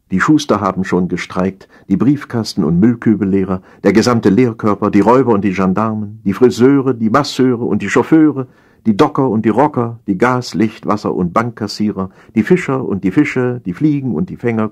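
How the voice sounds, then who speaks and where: A man with a husky voice reading satirical stories as an audiobook, in a theatrical, mock-solemn manner with deliberate phrasing. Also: lowish voice. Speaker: audiobook narrator.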